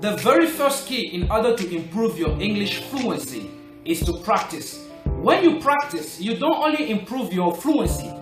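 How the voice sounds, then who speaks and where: Man, French native, high voice, earnest coaching tone, roomy echo, faint music underneath. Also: very animated, normal speed. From Republic of the Congo. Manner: earnest, coaching, stresses key points. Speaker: language-learning vlogger. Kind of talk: motivational talk to camera.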